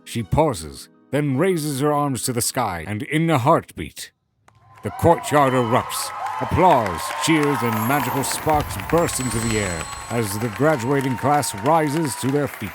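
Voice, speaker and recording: Animated male story narrator over music. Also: medium pitch, a little slow. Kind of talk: dramatic scripted story narration.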